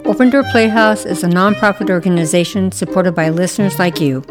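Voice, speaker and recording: A woman's voice: fairly low pitch, brisk. A woman hosting a theater podcast, reading a scripted announcement. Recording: clear music behind the voice. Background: music with strings.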